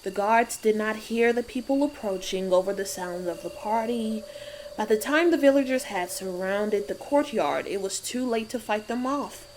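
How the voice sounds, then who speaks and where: A woman playing an elderly, knowing witch in a dark fairy tale audiobook, speaking in measured, cryptic and solemn storytelling. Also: medium pitch, expressive, slight background noise, close to an everyday voice, poor audio. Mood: grave recounting. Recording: music behind the voice. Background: music.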